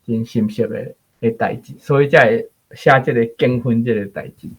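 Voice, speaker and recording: A man's voice: mid-range voice, normal speed, slightly rough. A man with a Taiwanese accent giving casual spoken commentary as a programme guest, on a slightly dull recording.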